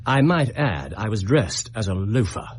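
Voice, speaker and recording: An actor in a British radio drama playing a shrewd, commanding Victorian detective, precise and dryly ironic, with a Received Pronunciation English accent. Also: male voice, fairly low pitch, very animated, normal speed, a little hiss or hum. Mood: dry, pointed.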